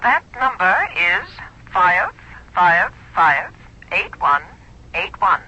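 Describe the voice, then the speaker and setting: An actress playing a polite, formally measured telephone operator in a 1970s radio mystery, heard as a telephone voice. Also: female voice, lowish voice, big pitch swings, pushed and bright, slight background noise, full character voice. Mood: businesslike. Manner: clipped formal courtesy, measured. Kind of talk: 1970s radio drama acting. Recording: dull lo-fi old radio recording.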